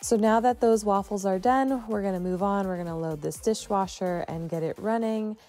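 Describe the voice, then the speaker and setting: A stay-at-home mom giving a chatty, relaxed voice-over for her home vlog, often saying "like" and "you know". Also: medium pitch, normal speed, soft background music. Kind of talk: casual voice-over narration.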